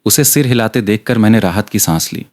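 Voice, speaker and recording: A man's voice: lowish voice, fairly quick, darkish tone. A man reading a scripted story aloud as an audiobook narrator.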